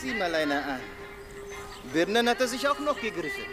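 An actor playing a combative fruit-shop worker in a radio crime drama, indignant and aggressive. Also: male voice, high-pitched, very animated, brisk. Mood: angry, indignant. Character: hot-tempered male Turkish fruit-shop worker, combative. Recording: distant mic, music playing behind.

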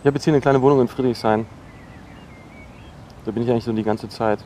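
An actor playing a defensive, awkward young dropout in a naturalistic radio drama, halting and repeating himself as he talks. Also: medium pitch, steady tone, dark tone, lightly acted. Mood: awkwardly confiding. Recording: noisy location recording with ambient hum.

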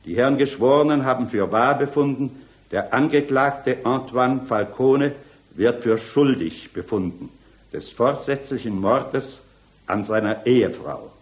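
An actor playing a solemn presiding judge in a 1960s radio drama, making formal, measured courtroom pronouncements with authority. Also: male voice, medium pitch, close to an everyday voice. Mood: solemn, official.

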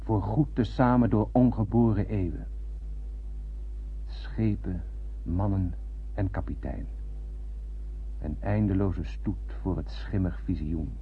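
An actor playing a haunted lone survivor of a space voyage, narrating solemnly and poetically in a radio drama. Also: male voice, low-pitched, slow pace, long pauses. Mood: awed. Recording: noisy, thin, phone-like old radio recording.

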